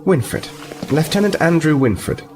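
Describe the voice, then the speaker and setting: A man's voice: fairly high pitch, lively intonation, unhurried, background noise. A man playing an earnest, diplomatic lieutenant in a sci-fi audio drama, polite and composed as he explains. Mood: composed, cordial. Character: earnest, diplomatic male starfleet lieutenant, liaison officer.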